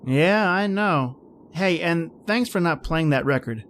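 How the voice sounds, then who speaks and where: A man's voice: fairly high pitch, lively intonation, measured pace, a bit bright, a little hiss or hum. An actor playing an edgy, short-tempered man at a remote outpost in an old-time radio drama, with terse, reflective delivery. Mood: conciliatory. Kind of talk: old-time radio drama acting and first-person narration.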